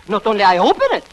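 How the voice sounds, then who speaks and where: Comic male sidekick, vintage radio: high, swooping, excitable, affectionate. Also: heavily acted, low-quality recording. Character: excitable, affectionate foreign uncle type, comic schemer. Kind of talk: 1940s radio comedy acting. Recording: vintage 1940s radio broadcast.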